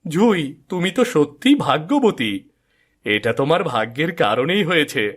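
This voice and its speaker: A man playing an eager, superstitious and lazy young farmer in a cartoon moral tale, with an excitable, insistent, wheedling way of talking. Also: on the high side, moderate pace. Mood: excited, carefree.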